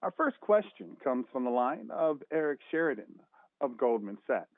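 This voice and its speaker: Male operator, mid-pitched, scripted and formal, narrow telephone sound. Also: very animated, normal speed, dry recording. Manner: formal, routine announcing. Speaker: conference call operator. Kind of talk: scripted call instructions. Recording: thin, dry phone line.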